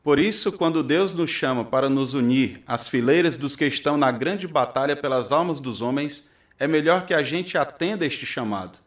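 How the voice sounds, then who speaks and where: A man's voice: a little high, lively intonation, normal speed, close to an everyday voice. A man acting as an earnest preacher giving a solemn, declamatory sermon in a radio drama. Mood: solemn, urging. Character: earnest male preacher addressing his congregation.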